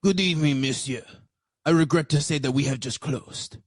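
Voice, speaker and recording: A man acts out a restaurant lackey from an old radio detective play. His voice is slightly high and husky, polite with a menacing edge is recorded close and dry. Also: normal speed, no room sound, natural voice. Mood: polite, evasive. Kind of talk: old-time radio detective drama acting.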